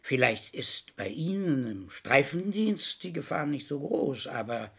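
An actor playing a shrewd, needling middle-aged police inspector in a crime radio play, deliberate and slyly sympathetic. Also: male voice, medium pitch, very animated, gravelly, breathy edge, full character voice. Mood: quietly menacing. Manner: sly, deliberate, feigned sympathy with pauses.

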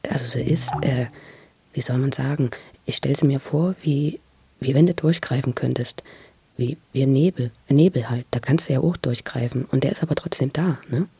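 A person telling personal memories in a spontaneous, confiding way, halting and often repeating words. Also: medium pitch, warm tone, husky. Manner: halting, repetitive, earnest, confiding. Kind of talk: intimate spontaneous storytelling in a documentary radio play.

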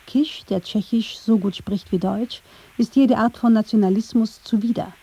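A woman reading scripted documentary narration in a measured, factual storytelling manner. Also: on the high side, warm tone, husky. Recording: slightly dull, band-limited sound.

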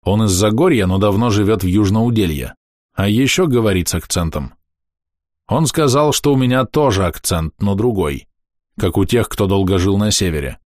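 A man reading a first-person diary aloud in a calm, matter-of-fact, measured way. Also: fairly low pitch, no room sound, studio quality. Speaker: audiobook narrator.

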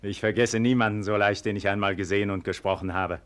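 An actor playing a commanding but gracious nobleman in a theatrical 1940s radio play, measured and authoritative. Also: lowish voice, steady tone, natural voice. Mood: gracious, admonishing. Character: commanding, gracious nobleman calming his citizens.

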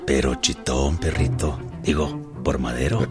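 A man's voice: extremely low pitch, fairly even intonation, unhurried, over music. A man playing a sly revolutionary commander in a radio drama, drawling conspiratorially with a Mexican accent.

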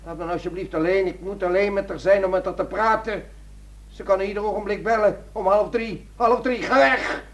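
An actor playing a distraught, confused middle-aged husband in a radio drama, pleading and fixated on the past. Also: male voice, high-pitched, steady tone, fairly quick, a bit bright. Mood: agitated, pleading.

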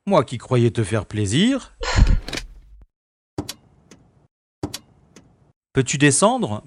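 A man's voice: medium pitch, a little hiss or hum. A man playing a cool-headed, sardonic special agent in a French-style science-fiction audio drama. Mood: teasing, composed. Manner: sardonic, flippant, unflappable.

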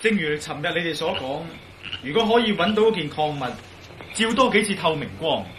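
An actor playing a composed, reassuring and decisive adventurer in a Cantonese radio drama. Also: male voice, a little high, lively intonation, fairly quick, fairly bright tone, a little hiss or hum, poor audio.

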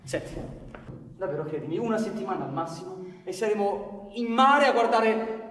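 A man's voice: high-pitched, expressive, normal speed, fairly bright tone. A man acting a restless, fervent dreamer who urges his lover on, with persuasive theatrical delivery on a live stage. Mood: pleading, reassuring. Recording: echoey theatre hall, live music behind.

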